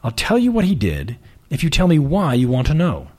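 A man reading the lines of a poised, wry young woman in a job interview, in a dry, measured way. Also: fairly high pitch, huge pitch swings, a little mellow. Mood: guarded.

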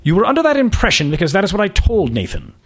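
A man reading the part of a haughty, commanding magazine editor with an aristocratic accent, speaking slowly and clipped. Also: high-pitched, huge pitch swings, breathy edge, voice pushed up, full character voice. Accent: upper-class East Coast with British touch.

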